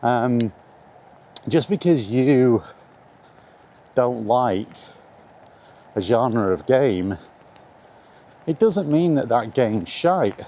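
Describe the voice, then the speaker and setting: Mellow British man, casual and rambling. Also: medium pitch, expressive, extremely slow, long pauses. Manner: informal, meandering, mildly sweary. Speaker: video maker chatting about video games. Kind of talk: casual rambling vlog chat. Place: outdoors in the rain, walking. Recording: outdoor ambient noise, faint.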